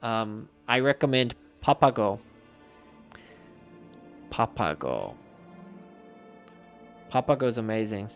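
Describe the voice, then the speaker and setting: A man's voice: fairly low pitch, very animated, slow, long pauses, soft background music. A man streaming a game and chatting casually with his viewers, answering their messages aloud in a rambling way with frequent restarts.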